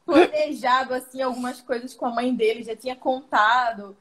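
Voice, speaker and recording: A woman's voice: high voice, fairly even intonation, normal speed, a crowd in the background. A woman tells her story casually to an interviewer, often laughing. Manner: chatty, laughs while telling stories. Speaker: interview guest. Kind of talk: casual storytelling on a video call.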